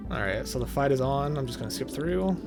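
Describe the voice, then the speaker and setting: A man's voice: medium pitch, lively intonation, a little fast, gravelly, darkish tone, soft background music. A man giving casual, offhand and mildly sardonic commentary as host of a video game playthrough.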